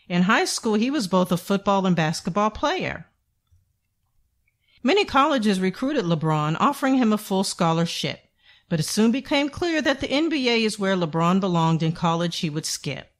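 Woman reading a picture book to kids, really up and down melody, careful expressive phrasing. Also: mid-range voice. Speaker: children's book narrator. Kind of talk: scripted read-aloud storybook narration.

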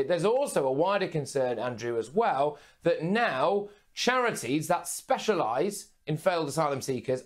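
A young man giving brisk, emphatic news commentary as a guest presenter from a remote studio. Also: fairly high pitch. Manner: brisk, emphatic, punchy phrasing. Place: remote studio with city view.

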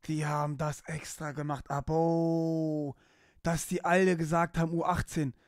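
A young man streaming from a small room at home, talking close into a boom mic as he reacts to a video. He talks casually, repeats himself and laughs quickly. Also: flat delivery, dry recording. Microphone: boom mic close in front.

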